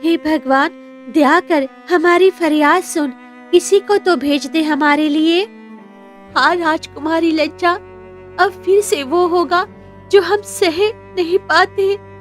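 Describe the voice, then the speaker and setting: A woman acts out a cursed golden statue in a cartoon folk tale. The voice is very high and wailing, heavy with grief and foreboding, over music. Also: steady tone, takes it slowly. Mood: sorrowful pleading. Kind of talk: animated moral story.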